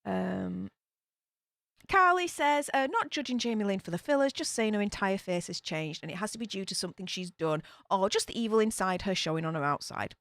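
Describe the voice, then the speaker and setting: A woman's voice: mid-range voice, expressive, a little fast, vocal fry, fairly bright tone, no room sound, clean studio sound. A young woman with a Yorkshire accent, chatting casually on a live stream as she reads viewers' comments aloud and laughs often. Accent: Yorkshire English. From Yorkshire, England. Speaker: YouTube streamer reading live chat.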